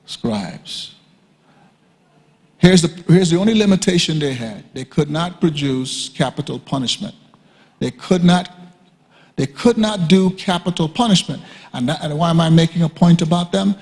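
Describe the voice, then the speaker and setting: A man teaching a sermon at a conference, emphatic and repetitive, often leaping high to act out quoted speakers. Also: animated, normal speed. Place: church hall. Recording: reverberant hall.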